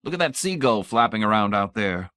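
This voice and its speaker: A man's voice: fairly low pitch, big pitch swings, a little fast, bright, forceful tone, no echo, studio quality. A man playing a hearty, reckless fellow. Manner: hearty, mock-careless.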